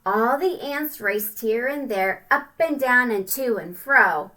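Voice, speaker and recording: A woman reading a picture book aloud to children, expressive and singsong, with chatty asides and questions to the listener. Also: a little high, slow, low-quality recording. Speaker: read-aloud storyteller.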